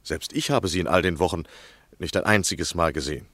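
A courtly, insistent literary journalist in a 1960s radio drama. His voice is very low and the sound is close and dry. He speaks with formal gallantry, always gently pressing for more. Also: fairly quick, slightly rough, dry recording. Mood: coaxing, reassuring. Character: courtly, persuasive male literary journalist, eagerly probing. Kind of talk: 1960 German radio play acting.

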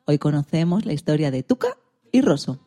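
A woman's voice: low-pitched, big pitch swings, a little fast, mellow. A woman hosting a radio program, introducing a section from a script.

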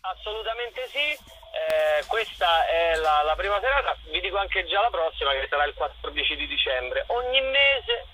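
A man's voice: a little high, sing-song, moderate pace, pushed and bright, rough recording. A man chatting casually as a theatre performer in a radio interview, often repeating words and restarting phrases over a phone-style line. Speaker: theatre performer interviewed about his show.

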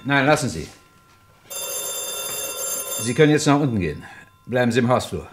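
An actor playing a composed, authoritative police inspector in a radio crime drama, matter-of-fact and commanding. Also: male voice, medium pitch, very animated, normal speed, a little hiss or hum, lightly acted. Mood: businesslike. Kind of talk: 1950s-80s German radio crime drama acting.

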